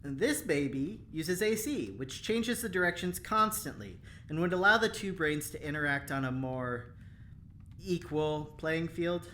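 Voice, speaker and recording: A woman's voice: sits low, expressive, measured pace, a bit bright, slight background noise. A woman playing a cocky, teasing prisoner engineer in an audio drama, with a breezy, playful way of talking. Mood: confident.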